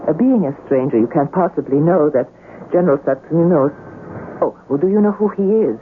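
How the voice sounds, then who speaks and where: Coquettish radio drama heroine, very lively. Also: female voice, low voice, a little fast, slight background noise. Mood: wry, confiding. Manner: coquettish, poised, playful. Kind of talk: 1970s radio drama acting. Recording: muffled vintage radio, music underneath.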